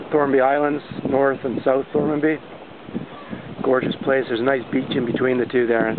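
A man talks casually into a handheld camera outdoors, with loud wind battering the microphone. The sound is thin and phone-like. His voice is mid-pitched, and he rambles along in an understated, hesitant way. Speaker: amateur explorer filming a vlog. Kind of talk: casual first-person vlog narration. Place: outdoors on an exposed seaside catwalk. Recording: tinny handheld camera mic, heavy wind noise.

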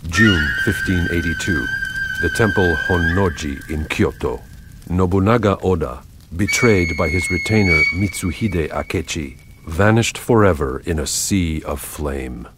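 An actor playing a grave storyteller of samurai history, giving scripted dramatic narration for a video game. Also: male voice, sits low, huge pitch swings, a little slow, full character voice. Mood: ominous. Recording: loud dramatic music over voice.

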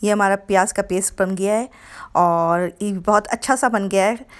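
A woman's voice: mid-range voice, fairly even intonation, normal speed, smooth. A woman hosting her cooking channel, explaining a home recipe step by step in a homely, repetitive way with frequent self-corrections. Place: home kitchen.

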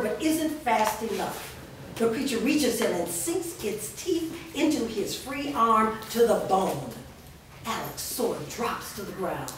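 A woman reads stage directions aloud as scripted narration. She sits far from the mic in a very echoey room. There is faint noise in the recording. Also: mid-range voice. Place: bare studio stage room, indoors. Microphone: far camera.

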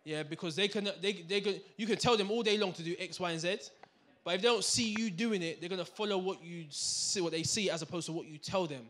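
A man's voice: high voice, fast. Snelle Londense man met een zaalmicrofoon. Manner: animated, checks in with the crowd with "right? yeah?". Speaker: finance speaker. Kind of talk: lively seminar talk to an audience. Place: community hall with audience. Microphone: handheld microphone.

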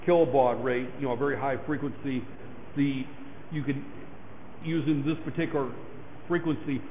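A hoarse man lecturing a class, rambling and repeating "right there" and "that there". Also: medium pitch. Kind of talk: recorded classroom lecture over slides. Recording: echoey lecture hall, tinny lo-fi mic with faint noise.

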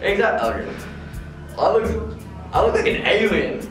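A man's voice: high voice, animated, normal speed, recorded from a distance, music in the background. Joking teenage boy, roomy and off-mic. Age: teens. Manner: joking, loose and blunt. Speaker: interviewee. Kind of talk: casual interview.

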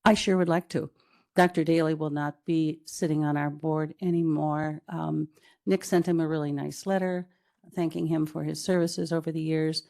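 A woman's voice: low voice, level tone, normal speed, creaky, dark tone. A woman speaking informally as a committee chair in a meeting, conversational and repeating words for emphasis. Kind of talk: informal spoken report in a meeting. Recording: public meeting room recording.

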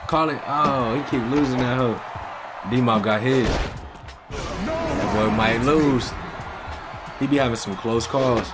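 A man's voice: medium pitch, very animated, slow, long pauses. A young man giving casual, slangy reaction commentary to his viewers as a gaming video host. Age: young adult. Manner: slangy, conversational, addressing chat. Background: music.

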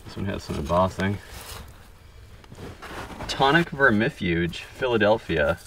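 A man calmly narrating a bottle dig outdoors, reading out each find in a matter-of-fact way. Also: low voice, measured pace, creaky, recorded from a distance, slight background noise. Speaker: bottle digger. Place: outdoors at an excavation pit.

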